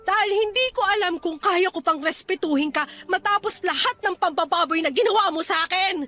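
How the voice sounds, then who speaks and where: A woman's voice: very high-pitched, a little fast, sharp tone, soft background music. An actress playing a hurt, defiant young woman rebuffing her ex-lover in a radio drama, sarcastic and reproachful. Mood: hurt, firm. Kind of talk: Tagalog radio drama acting.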